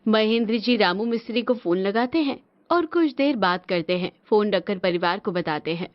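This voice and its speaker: A woman's voice: medium pitch, animated, moderate pace, no echo. A woman giving scripted narration for a cartoon story. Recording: dull lo-fi audio with music.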